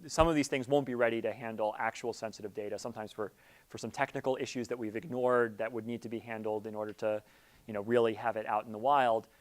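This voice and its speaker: A middle-aged man giving an informal orientation talk as an academic leader, halting and repeating words as he thinks aloud. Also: lowish voice, steady tone. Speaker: academic project leader, theorist. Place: seminar room. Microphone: lapel mic.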